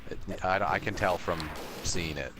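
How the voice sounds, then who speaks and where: A man's voice: low-pitched, a little slow, background noise. A young man co-hosting a podcast, chatting casually while playing a video game, rambling with lots of restarts. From Canada. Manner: rambling, with many restarts and fillers. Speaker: podcast co-host. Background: game music.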